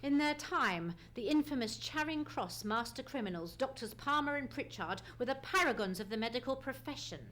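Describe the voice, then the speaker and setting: A woman's voice: high-pitched, expressive, normal speed, few pauses, fairly bright tone. A woman playing a keen, commanding male detective in a live old-time radio mystery, speaking precisely and theatrically. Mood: grave, resolute. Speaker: radio play actor. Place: theatre stage. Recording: noisy live theatre stage recording.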